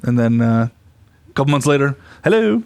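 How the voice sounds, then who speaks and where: A young man from the United States chatting as a podcast guest, telling stories in a giggly, animated way. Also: medium pitch, fairly quick. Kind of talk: casual chat, storytelling.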